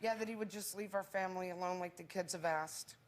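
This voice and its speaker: A woman's voice: fairly low pitch, fairly quick, a little hiss or hum. Bitter blunt woman on a talk show. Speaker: guest.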